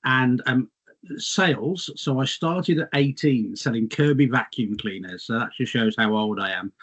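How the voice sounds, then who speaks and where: A man's voice: mid-range voice, normal speed, a bit bright, breathy edge, dull audio. A middle-aged English man, a guest, slightly losing his voice, reminiscing in a rambling, self-mocking way. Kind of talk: casual reminiscing chat.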